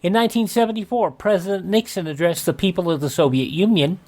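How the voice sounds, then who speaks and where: A man's voice: high voice, quick pace, darkish tone. A man hosting a radio program, reading through dated history notes and commenting on them. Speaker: radio show host.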